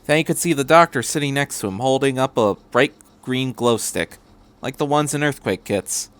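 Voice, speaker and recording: A man's voice: medium pitch, normal speed, a bit bright. A man reading a piece of fan fiction aloud as a scripted story narration.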